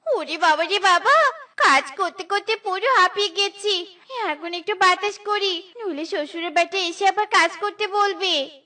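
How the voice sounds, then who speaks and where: Shrill, nagging cartoon vixen wife. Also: female voice, measured pace, sharp tone, distant mic, full character voice. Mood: weary, grumbling. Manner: scolding, complaining. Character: grumbling, overworked vixen wife, sharp-tongued. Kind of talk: cartoon voice acting.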